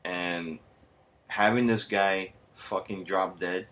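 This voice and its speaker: A man's voice: low-pitched, fairly even intonation, slow, a bit bright, slight background noise. Profane ranting man. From United States. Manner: heated, sarcastic, swears constantly; mixes in Spanish slang. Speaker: vlogger. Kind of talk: profane angry rant.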